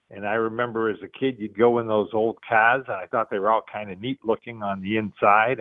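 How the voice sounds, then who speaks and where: A man's voice: fairly low pitch, dry recording. Boston man on a phone line. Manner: relaxed, rambling, many fillers. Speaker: guest, car museum founder. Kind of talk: casual interview storytelling.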